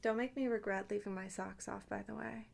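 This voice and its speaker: A woman's voice: mid-range voice, fairly even intonation, brisk, gravelly, darkish tone. A woman chatting casually and intimately to the listener as an ASMR host, with playful, teasing asides.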